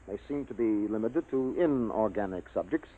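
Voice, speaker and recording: An actor playing a pragmatic, reasoning military scientist who explains things emphatically in a 1950s radio drama. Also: male voice, on the low side, lively intonation, normal speed, narrow, tinny audio. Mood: grave, explaining. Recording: thin old radio recording.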